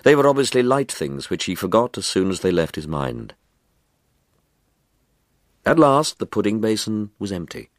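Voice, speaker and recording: Male narrator for dark short fiction: low register, measured yet highly varied intonation, dry studio-style sound. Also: dry recording. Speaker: story reader. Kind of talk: dramatic scripted narration of a short story.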